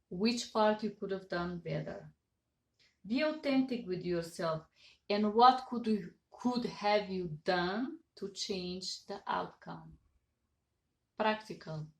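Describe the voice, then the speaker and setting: A woman in her thirties with a Bulgarian accent, earnestly giving business advice to a webcam and stressing each numbered point. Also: mid-range voice. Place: home office with certificates on wall. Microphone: webcam at desk. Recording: echoey room.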